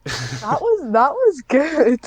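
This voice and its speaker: A woman chatting casually as a podcast guest, in a somewhat hesitant, halting way. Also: high voice, huge pitch swings. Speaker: podcast guest, script writer. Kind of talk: casual chat.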